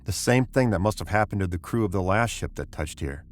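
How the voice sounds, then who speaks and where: An actor playing a commanding spaceship captain, terse and grim, in the style of old-time sci-fi radio drama. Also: male voice, low voice, lively intonation, fairly quick. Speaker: actor and story narrator.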